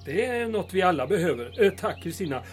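An actor playing a genial mayor in an audio drama. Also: male voice, sits high, big pitch swings, faint music behind. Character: genial small-town mayor.

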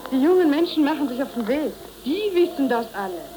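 A woman's voice: sits high, sing-song, brisk, background noise. An actress playing a tender, hopeful young woman in a 1930s German radio play, speaking solemnly and lyrically. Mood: hopeful, visionary. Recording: old 1930s radio recording.

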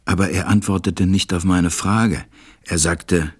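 An actor playing a pensive, tender man in a German radio play, narrating and speaking gravely and deliberately in a husky, breathy voice. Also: sits low, steady tone. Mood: grave, sorrowful. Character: pensive, tender adult male narrator befriending a small boy.

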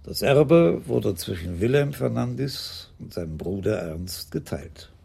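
Radio crime drama: elderly commissioner, courteous and deliberate, heavily creaky, very raspy. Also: male voice, on the low side, lively intonation, darkish tone, heavily acted. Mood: reflective. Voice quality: gravelly, husky. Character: elderly police commissioner, courteous, dry, unhurried. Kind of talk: radio play acting.

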